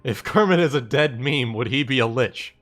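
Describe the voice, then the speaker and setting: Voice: medium pitch, moderate pace, faint music behind. A game livestream player chatting casually in a joking, sweary way. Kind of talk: casual chat.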